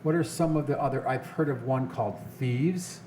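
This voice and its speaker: A man's voice: medium pitch, normal speed, warm tone. A man hosting a casual interview show, chatty and joking, asking questions and chiming in. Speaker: talk show host. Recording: roomy echo, clear background noise.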